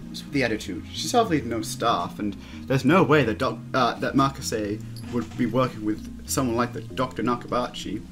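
A man's voice: mid-range voice, moderate pace, over music. A man hosting a let's play, reading a visual novel aloud with playful banter and often stumbling over the text.

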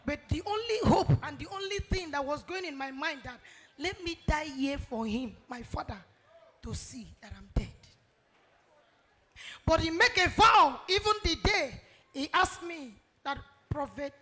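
A woman's voice: high-pitched, fairly bright tone. Fervent woman giving church testimony. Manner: fervent, ends in sung praise. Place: large church hall with congregation. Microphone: handheld microphone.

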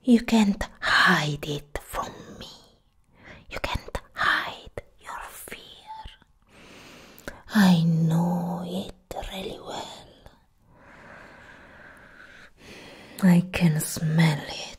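A young woman playing a proud, menacing goddess of death in a soft-spoken ASMR roleplay, breathy and whispered, close to the mic. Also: fairly low pitch, full character voice. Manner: slow, deliberate, menacing. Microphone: close ASMR microphone.

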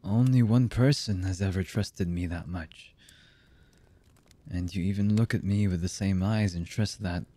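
A voice actor playing a cold, embittered villain man in an ASMR roleplay, husky and menacing, with halting delivery that often drops to a murmur. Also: dark tone. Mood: threatening, probing. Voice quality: husky, low.

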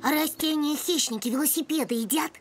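A woman voicing a naive, excitable little child, the youngest sibling in a children's cartoon, wide-eyed and eager. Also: sits high, brisk, close to an everyday voice. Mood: curious. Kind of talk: animated children's cartoon dubbing. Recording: loud music behind. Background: music.

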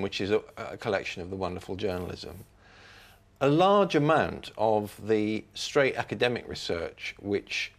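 A man giving a considered review on a panel in formal, articulate, measured phrases. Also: on the low side. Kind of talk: considered spoken book review.

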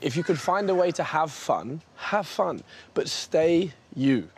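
A tired man who says he is losing his voice, calmly advising his wife in casual chat. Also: on the high side, unhurried, heavy background noise. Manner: calm, advising. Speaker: husband. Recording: background music.

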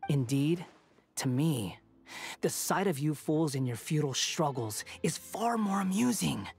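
Smug young would-be god, mocking and preachy, game cutscene, bit of music under it. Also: male voice, a little high. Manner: smug, condescending, slow lecturing. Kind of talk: video game voice acting.

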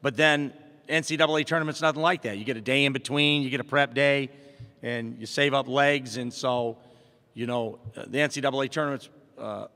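A man's voice: mid-range voice, steady tone, brisk, pushed and bright. Middle-aged guy coaching, press conference mic, talks upbeat w lots of uhs, big echoey room. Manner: plainspoken, upbeat, many fillers and restarts. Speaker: basketball head coach. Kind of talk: postgame press conference answers. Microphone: table mic in front. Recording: long hall reverb.

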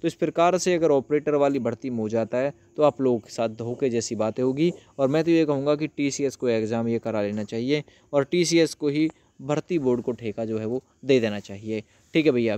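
A man's voice: medium pitch, a little fast, few pauses, mellow, dry recording. A man hosting an informal update for viewers, explaining in a familiar way and repeating phrases for emphasis. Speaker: host of an exam update channel. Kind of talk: informal explainer talk to viewers about exam news.

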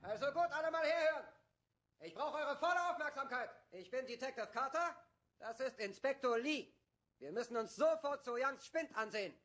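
Brief: high-voiced wisecracking cop, brash and boastful, with a bouncy, swooping delivery over film music. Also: male voice, lively intonation, normal speed, fairly bright tone, some chatter behind, a little hiss or hum. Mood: bossy. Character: brash cocky male cop, loudmouthed joker. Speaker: loud fast-talking detective. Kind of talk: film dub acting. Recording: film soundtrack with music behind.

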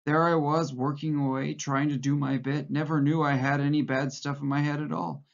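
A man's voice: fairly high pitch, flat delivery, moderate pace, vocal fry. A man voicing a frightened, fawning middle-aged male prisoner who pleads eagerly and nearly blubbers. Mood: anxious, sanctimonious. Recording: muffled lo-fi recording.

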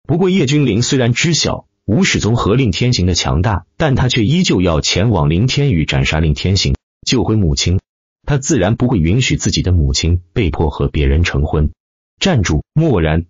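A man narrates a dramatic tale in a deep voice that moves up and down with animation. His tone is a little rough and husky. The sound is dry and close, a little muffled. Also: dry recording. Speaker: audiobook narrator. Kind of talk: dramatic scripted web-novel narration.

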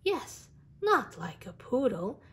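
A woman voicing a whimsical, insistent little girl from a picture book, earnest and emphatic with drawn-out words. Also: fairly high pitch, very animated, husky, pitched above the performer's own voice, heavily acted.

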